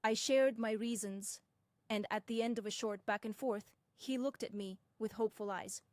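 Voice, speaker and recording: A young woman tells her own story in a fairly high voice. She speaks slowly and deliberately and drops in candid side remarks. The recording sounds dry and close. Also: fairly even intonation, no echo. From Russia. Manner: measured, deliberate, with frank asides. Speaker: personal commentator. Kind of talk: first-person reflective narration.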